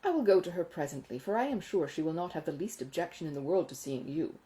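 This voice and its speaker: A woman voicing a self-important, money-minded gentleman in a Regency audiobook, exclaiming and confiding in a pompous manner. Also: sits low, expressive, fast, low-quality recording. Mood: fussy, genial. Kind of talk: acted audiobook dialogue from a Regency novel.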